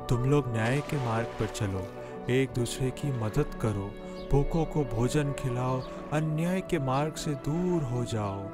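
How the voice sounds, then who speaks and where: A man voices a solemn cartoon prophet exhorting his listeners. The delivery is slow and measured, mid-pitched, with loud music underneath. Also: natural voice. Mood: solemn exhortation. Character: solemn prophet preaching repentance to crowds. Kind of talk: cartoon Bible story preaching.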